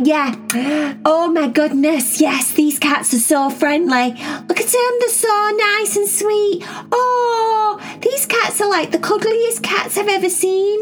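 A woman voicing an excitable, chatty young female cat who gushes and rambles in a storybook. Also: very high voice, voice pushed up. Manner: gushing, breathless, rambling.

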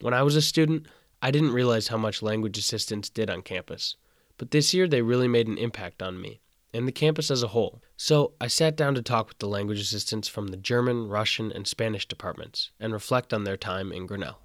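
Young male host, low and dry. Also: a little fast, hardly any pauses, no room sound. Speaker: student radio host. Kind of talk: interview with voice-over narration.

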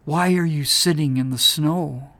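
A woman voicing a great, wise silver wolf who speaks gently, slowly and deliberately. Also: low-pitched. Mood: gentle. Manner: gentle, slow and deliberate, hushed.

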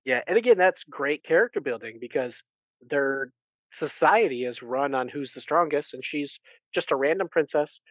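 A man's voice: on the high side, expressive, moderate pace, a bit bright, no echo, dull audio. A man hosting a casual book recap podcast, retelling things in an enthusiastic, rambling way with acted-out asides. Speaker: podcast host.

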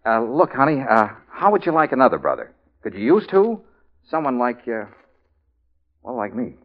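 Hard-boiled American fixer, in 1940s radio style. He sounds in command and a little gruff, with lively, swinging intonation. The recording is dull and muffled. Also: medium pitch, moderate pace. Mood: awkward, coaxing. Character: hard-boiled, take-charge male fixer, gruff but softening.

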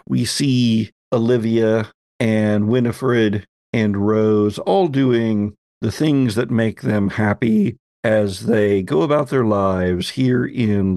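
Slow, theatrical male storyteller, dry close sound. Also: fairly low pitch, a little mellow, no echo. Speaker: podcast host and game master. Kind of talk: storytelling narration of a tabletop roleplay game.

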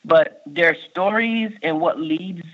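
Haitian-born man with a Miami accent. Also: fairly high pitch. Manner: halting, many fillers and restarts. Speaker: state workforce official, guest. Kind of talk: conversational interview answers. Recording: muffled lo-fi recording.

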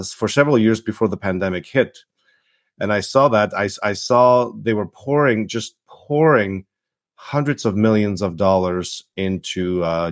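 A middle-aged man from Czechoslovakia, a physician, giving an interview answer in a halting way with many fillers and restarts. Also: sits low, no echo, studio quality. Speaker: guest physician. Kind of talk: interview answer, recounting background.

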